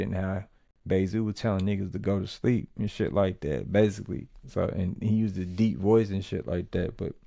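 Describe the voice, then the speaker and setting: Gravelly low-voiced man, laid-back talk. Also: mellow, no echo, studio quality. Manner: laid-back, filler-heavy street talk. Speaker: podcast host. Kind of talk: casual commentary.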